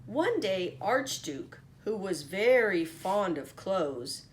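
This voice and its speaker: Lady teacher reading a kids book, really lively up and down voice, takes it slow. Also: medium pitch, a little hiss or hum. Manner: expressive, pauses to comment to young listeners. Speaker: teacher reading aloud to children. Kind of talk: animated picture-book storytelling.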